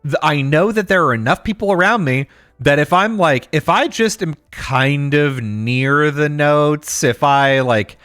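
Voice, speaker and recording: A man co-hosting a podcast, telling a story in casual chat, animated and acting out what others said, with many fillers. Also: fairly high pitch, unhurried, dry recording, studio quality. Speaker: podcast co-host.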